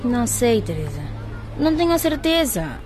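A woman's voice: on the high side, sing-song, moderate pace, a little mellow, some chatter behind. A woman playing an anxious, pleading pregnant teenage African schoolgirl in a radio drama. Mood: worried, pleading.

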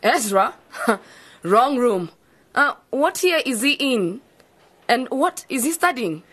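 Animated, flippant young student woman. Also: fairly high pitch, takes it slowly, full character voice. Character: flippant young female student in campus dorm. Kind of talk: radio drama acting.

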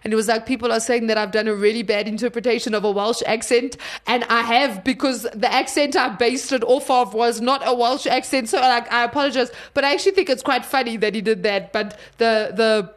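A woman's voice: fairly high pitch, fairly quick, few pauses. Chatty South African woman. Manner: chatty and animated, many restarts. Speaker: film podcast co-host. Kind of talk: casual film review chat.

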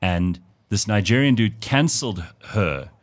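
A man with a South African accent hosting a radio talk show, telling stories in a lively, animated way and putting on the voices of the people he quotes. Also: lowish voice, a little slow. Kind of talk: casual talk radio chat.

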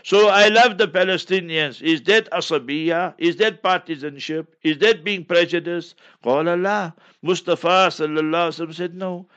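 Emphatic South African man, Memon first language, higher voice, dull lo-fi broadcast sound. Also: normal speed, few pauses. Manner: forceful, emphatic, preacherly. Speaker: Islamic scholar answering questions. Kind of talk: radio question-and-answer.

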